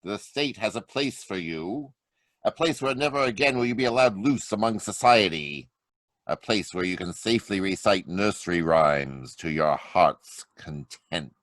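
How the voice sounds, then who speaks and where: Deep dramatic radio hero, swoopy delivery, dry close mic. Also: male voice, lively intonation, measured pace, a bit bright, no echo. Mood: menacing. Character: confident male crime-solving hero, mocking. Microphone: home mic over video call.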